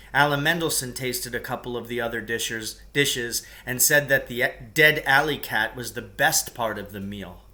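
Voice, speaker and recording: A man reading a children's novel aloud as a narrator, with expressive, emphatic delivery. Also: medium pitch. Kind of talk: storybook read-aloud narration.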